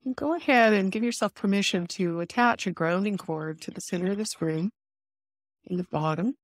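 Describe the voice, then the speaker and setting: A woman leading a guided meditation, giving soothing, unhurried instructions with pauses between phrases. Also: medium pitch, dry recording, studio quality.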